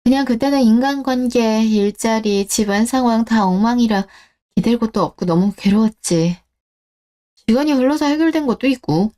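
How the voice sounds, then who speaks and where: A woman playing an affectionate, easily flustered young girlfriend in a radio drama, speaking softly and expressively. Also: on the high side, moderate pace, close to an everyday voice. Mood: reflective. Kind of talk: Korean radio drama dialogue.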